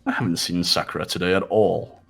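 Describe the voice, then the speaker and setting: A man's voice: sits low, steady tone, fairly quick, vocal fry, a bit bright, faint music behind. A man voicing an arrogant, cold young rich heir with a haughty, dismissive manner in a game dub. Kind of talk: fan voice-over of visual novel game.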